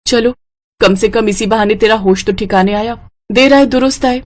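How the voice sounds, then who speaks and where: A woman voicing a sharp-tongued, practical elder sister in a children's cartoon story. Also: a little high, moderate pace, lightly acted. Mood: practical, encouraging. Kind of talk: animated children's story dialogue.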